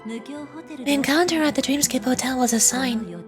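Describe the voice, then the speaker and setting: A composed, shrewd woman leader, acted by a female player, reasons in a high, deliberate voice. The video game dialogue sits over clearly audible music. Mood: serious, wary. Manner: analytical, deliberate, polite. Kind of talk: live read-aloud of video game dialogue.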